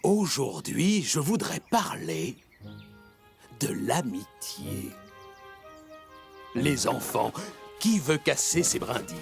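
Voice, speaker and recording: A man voicing a wise, patient old animal teacher, measured and moralizing, in a cartoon dub. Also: medium pitch, huge pitch swings, creaky, heavily acted. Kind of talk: French cartoon dubbing. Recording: music under the voice.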